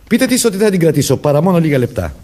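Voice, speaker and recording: Stern, insistent detective sergeant, formal radio-drama delivery, highly animated intonation. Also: male voice, slight background noise. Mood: stern, commanding. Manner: commanding, formal, deliberate. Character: authoritative, insistent male police sergeant questioning suspects. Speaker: actor. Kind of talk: Greek radio theatre acting.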